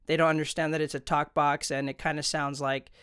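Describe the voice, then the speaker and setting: A man's voice: a little high, little pitch movement, a little fast, sharp tone, smooth, no room sound. A man hosting an instructional video, explaining things in an informal, conversational way into a close studio microphone. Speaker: tutorial host. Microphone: close studio condenser microphone.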